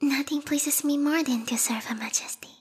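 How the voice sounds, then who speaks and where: A woman voicing a formal, haughty bat girl who serves a vampire queen, speaking in a breathy, whispery ASMR roleplay style close to the microphone, politely menacing. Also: sits high, fairly even intonation, moderate pace, big-room sound, natural voice. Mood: amused, proud. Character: yandere bat monster girl, devoted servant of vampire queen. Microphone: close binaural microphone.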